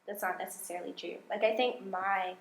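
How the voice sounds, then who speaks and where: A young woman, a guest on a podcast, explaining in casual chat, animated and full of fillers. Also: medium pitch, brisk, creaky, a little hiss or hum. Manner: animated, explains with many likes. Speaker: astrology guest. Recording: echoey room.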